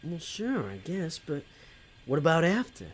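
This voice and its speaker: A man's voice: fairly high pitch, huge pitch swings, a little slow, husky, full character voice. A man playing a conflicted, working-class demon tempter in an audio drama, talking in a colloquial, hesitant way. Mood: hesitant, troubled.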